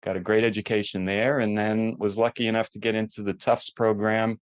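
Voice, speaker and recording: A man in his seventies with a strong New York accent tells his story in a loose, rambling way, with wry jokes along the way. His voice sits a little low, and it is recorded close and dry with no room sound. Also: flat delivery, brisk, no echo. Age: 70s. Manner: chatty, rambling, self-deprecating humor. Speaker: guest orthodontist. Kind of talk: casual podcast conversation.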